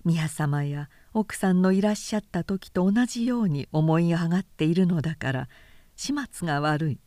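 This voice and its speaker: A woman's voice: on the low side, normal speed, warm tone, no room sound, clean studio sound, natural voice. A woman voicing a haughty, contemptuous noblewoman grumbling about a poor relation in a storybook reading. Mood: scornful.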